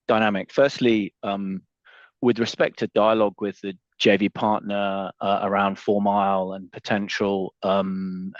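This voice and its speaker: Low, halting male analyst on conference line. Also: dry recording. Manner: halting, trails between phrases. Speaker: financial analyst caller. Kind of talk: asking questions on earnings call. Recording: dull, band-limited phone conference audio.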